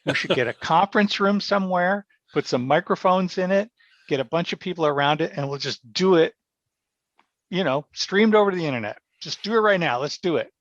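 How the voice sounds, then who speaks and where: A man telling stories casually as an interview guest, animated and self-effacing. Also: high-pitched, a little fast. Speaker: guest, radio theatre director. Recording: dull, muffled lo-fi roomy sound.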